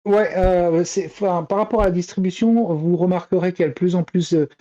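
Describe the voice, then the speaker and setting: A man on a podcast panel explaining things casually and haltingly, piecing his sentences together, over a dull voice link. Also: sits high, mellow.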